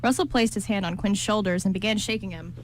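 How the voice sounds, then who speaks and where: A woman's voice: medium pitch, fast. A woman reading scripted story narration for an amateur radio play. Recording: clearly noisy amateur recording.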